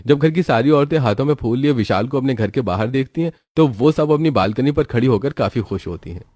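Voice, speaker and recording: A man's voice: mid-range voice, animated, a little fast, a little mellow, no room sound, clean studio sound. A man reading scripted story narration as the storyteller.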